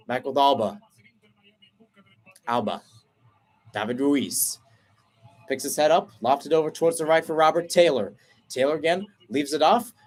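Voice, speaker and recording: A man doing radio play-by-play for a soccer match, talking steadily through the passing and breaking off to explain tactics, then jumping up in pitch when a chance opens. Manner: brisk flowing call, rising sharply on chances; self-correcting, explains tactics between plays. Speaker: play-by-play commentator.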